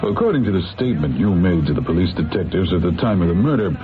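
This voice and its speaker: An actor playing a courteous, experienced defence lawyer in an old radio drama, self-assured and measured. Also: male voice, low voice, very animated. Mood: assured, resigned. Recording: tinny vintage radio, music behind.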